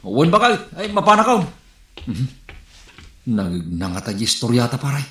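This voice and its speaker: A man's voice: medium pitch, wildly swooping pitch, moderate pace, a bit bright. Man acting a flustered, stammering Ilocano jeepney driver. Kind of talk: comedy radio-drama acting. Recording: roomy, echoey voice recording.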